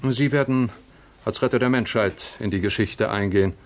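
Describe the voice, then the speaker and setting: An actor playing a pompous, jovial, middle-aged general given to long-winded speeches, in 1960s German radio drama style. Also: male voice, on the low side, moderate pace, natural voice. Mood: grandiose, fervent. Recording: noisy old radio drama recording.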